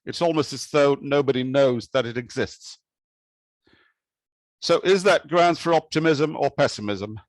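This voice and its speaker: A man from Kenya giving an academic talk with slides, speaking in a measured, thoughtful way with occasional restarts. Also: medium pitch, no echo. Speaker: conference speaker.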